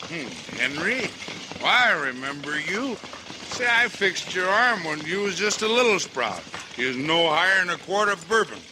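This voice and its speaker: Florid, swooping tipsy frontier doctor. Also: male voice, a little high, sing-song, normal speed. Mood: warm, curious. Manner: theatrical, grandiloquent. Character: jovial, florid, hard-drinking older frontier doctor. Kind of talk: old-time radio western acting. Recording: noisy old radio recording.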